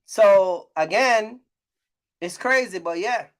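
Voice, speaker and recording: High-pitched man in casual vlog talk. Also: slow pace. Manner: repetitive, emphatic, colloquial, chuckles at own points. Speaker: YouTube host, trucking business creator. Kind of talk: casual opinionated vlog monologue.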